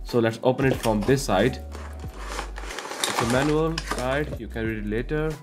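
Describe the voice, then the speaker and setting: A man's voice: medium pitch, moderate pace, reverberant, music in the background. A young man from India with an Indian accent, speaking English as a second language in a casual tech unboxing with lots of "you know" fillers. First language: not English. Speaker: tech reviewer. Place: indoor studio room with curtains.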